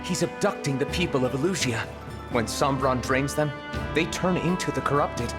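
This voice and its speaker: An actor playing a serious ally in a video game, explaining things in a grave manner. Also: male voice, medium pitch, moderate pace, over music, close to an everyday voice. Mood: grim. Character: serious male ally explaining a dark threat. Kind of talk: video game cutscene voice acting.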